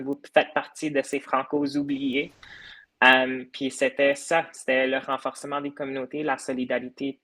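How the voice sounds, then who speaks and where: A man's voice: medium pitch, fairly bright tone. A young man from the United States, a podcast creator talking earnestly in a casual interview, with many hesitations.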